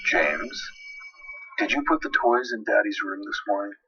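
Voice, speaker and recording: Man acting a worried, stern father, husky voice. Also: fairly low pitch, expressive, moderate pace, bright, forceful tone, distant mic, highs cut off, faint music behind, exaggerated voice. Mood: stern concern. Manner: anxious, insistent questioning. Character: worried father, stern but loving, questioning his young son.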